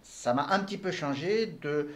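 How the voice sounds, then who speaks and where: A man's voice: a little high, animated, normal speed. An older man from Tunisia, answering interview questions in formal, elaborate phrasing with frequent hesitations. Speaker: entrepreneur guest.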